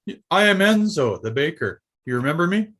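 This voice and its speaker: A man's voice: a little high, sing-song, fairly quick, a bit bright. An actor at a table read playing an earnest, nervous, loyal baker offering his help. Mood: eager, earnest. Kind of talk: live table read of a film script.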